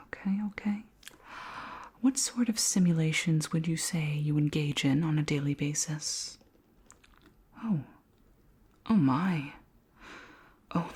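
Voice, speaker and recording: A woman playing a curious, flirty alien queen in an ASMR roleplay, speaking in a soft, breathy whisper with formal, gently clinical politeness. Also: deep voice, full character voice. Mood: intrigued, gently amused. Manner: slow, gentle, formal questioning.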